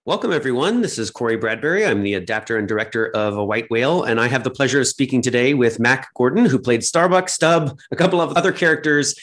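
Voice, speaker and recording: A man's voice: medium pitch, lively intonation, fast, hardly any pauses, a bit bright, no room sound. A man hosting as a director, giving scripted announcements and interviewing. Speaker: director and host.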